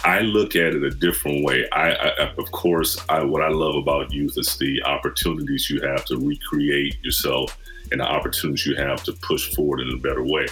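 A man's voice: a bass voice, fairly even intonation, a little fast, fairly bright tone, slightly rough. A man in his forties from Michigan, a podcast guest telling his story in an earnest, reflective way. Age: 40s. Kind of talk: casual conversation.

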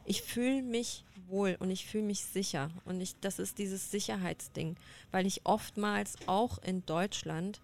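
A woman who grew up in Germany, speaking frankly and outspokenly as a guest in a casual discussion. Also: medium pitch.

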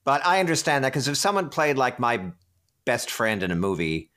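A man of medium pitch talks as an actor being interviewed. He is chatty and extroverted, telling his recollections in an animated way. His voice is loud and conversational. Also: moderate pace.

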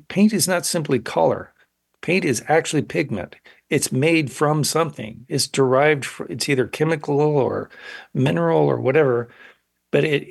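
A man in his sixties, an artist interviewed on radio, speaking in a thoughtful, halting way and often pausing to find words. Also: on the high side, vocal fry, husky. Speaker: painter, interview guest. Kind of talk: reflective interview talk.

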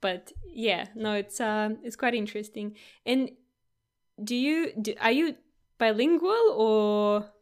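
Young woman, Russian native, accent softened by years in Australia. Easygoing chatter, lots of restarts. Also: a little high, slow. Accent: Russian, mixed with Australian. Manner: chatty, often restarts and laughs between thoughts. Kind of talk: casual chat.

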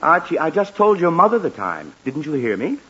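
A man's voice: fairly high pitch, very animated, fairly quick. An actor playing a flustered, irritable middle-aged father in a 1940s radio comedy, stammering and fussy. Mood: exasperated. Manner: stammering, exasperated, fussy delivery. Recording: dull, hissy old radio recording.

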